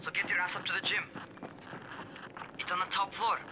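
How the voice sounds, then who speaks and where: A man's voice: high-pitched, level tone, brisk, noisy background, lightly acted. An actor playing a cocky, reckless young man in a video game, brash and teasing like a younger brother turned trainer. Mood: bossy.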